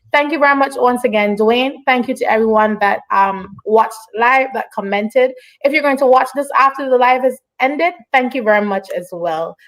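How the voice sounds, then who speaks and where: A woman's voice: a little high, poor audio. A woman hosting a casual online interview in an encouraging, affirming way. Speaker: interview show host.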